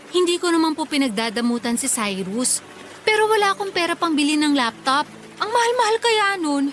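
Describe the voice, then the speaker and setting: A woman's voice: sits high, very animated, fairly quick. A woman playing a dutiful but exasperated young working daughter in a radio drama, polite and firm.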